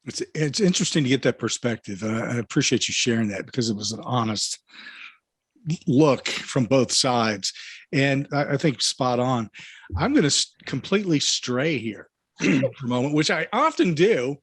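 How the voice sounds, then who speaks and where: A man hosting a podcast interview, friendly and self-deprecating, clearing his throat now and then. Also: medium pitch, expressive, normal speed, a bit bright, no echo. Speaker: podcast host. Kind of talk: casual interview chat.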